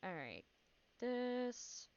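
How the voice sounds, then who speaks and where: A woman streamer reacting live in casual chat, excitable and jumpy, repeating herself when startled. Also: on the high side, drawn-out words, smooth. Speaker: streamer reacting to horror videos. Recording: dull lo-fi sound, music behind.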